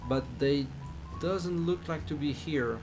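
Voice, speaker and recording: Slow-talking man with a Swiss accent. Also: fairly high pitch, over music, poor audio. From Switzerland. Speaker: flight simulator streamer. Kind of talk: casual live stream chat while playing.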